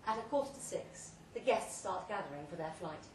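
A woman reads a short story aloud at a live event, delivering it theatrically in measured, deliberate phrases. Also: lowish voice, animated. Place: pub event room, read aloud live. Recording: roomy, distant live recording with faint noise.

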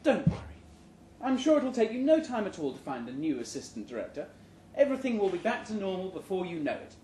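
A man's voice: high-pitched, big pitch swings, brisk, warm tone, voice pushed up. A man voicing a consoling, breezy wife in a live short story reading, soothing and matter-of-fact. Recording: roomy live venue recording with background noise.

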